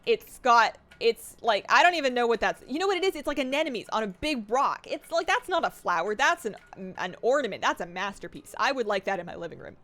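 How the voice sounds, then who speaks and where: A young woman streaming a game live, chatting excitedly and reading her chat aloud. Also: on the high side, fairly quick, hardly any pauses.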